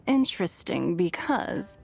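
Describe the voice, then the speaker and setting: A woman playing a poised, gently teasing lady in a video game, speaking courteously and thoughtfully. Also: fairly low pitch, sing-song, slow pace, gravelly, soft background music, exaggerated voice.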